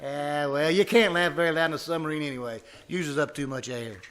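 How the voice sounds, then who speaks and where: A man playing a boastful, folksy, excitable husband in old-time radio comedy, blustering and hesitating. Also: on the high side, a little fast, lightly acted. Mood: joking. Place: live before an audience at a winery.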